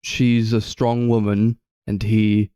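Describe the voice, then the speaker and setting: A man's voice: lowish voice, flat delivery, takes it slowly, mellow, smooth, no echo, clean studio sound. A man co-hosting a podcast, casually discussing films in a rambling way peppered with "like". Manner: rambling, full of "like". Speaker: podcast co-host.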